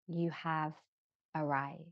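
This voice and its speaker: A woman guiding a yoga nidra relaxation in a gentle, measured manner, leaving long pauses between short phrases. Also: low-pitched, flat delivery, no echo, highs cut off. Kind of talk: slow guided relaxation meditation.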